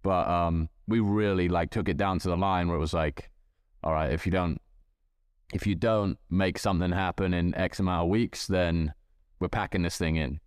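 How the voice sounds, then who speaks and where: A man's voice: sits low, steady tone, normal speed, smooth, no room sound, studio quality. A man speaking as a podcast guest, reflective and thinking aloud with frequent hedges. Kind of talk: casual podcast chat.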